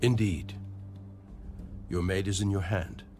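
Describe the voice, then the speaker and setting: Deep, subdued biblical husband. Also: male voice, steady tone, slow pace, music in the background. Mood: resigned. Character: Abram, yielding older Hebrew husband. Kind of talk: dramatized audio Bible acting.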